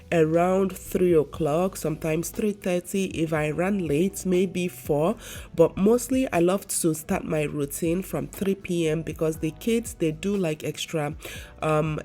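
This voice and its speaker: A woman's voice: fairly low pitch, warm tone. A woman lifestyle vlogger talking casually to her viewers. She has a congested, stuffy nose from a severe cold and rambles chattily with lots of fillers. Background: faint background music.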